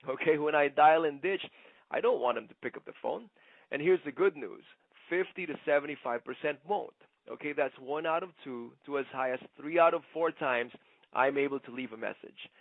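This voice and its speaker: A man giving an instructional sales presentation like a trainer, conversational but emphatic, often checking in with a quick "okay?". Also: fairly high pitch, no echo. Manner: conversational, punctuates points with "okay?". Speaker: network marketing trainer. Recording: muffled, narrow phone-like sound.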